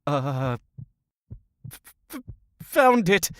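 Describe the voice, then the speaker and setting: A man's voice: fairly high pitch, big pitch swings, drawn-out words, clean tone, exaggerated voice. A man playing a nervous, naive adventurer in an audio drama, jumpy and plaintive in his delivery. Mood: frightened. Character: nervous, naive, easily startled male adventurer.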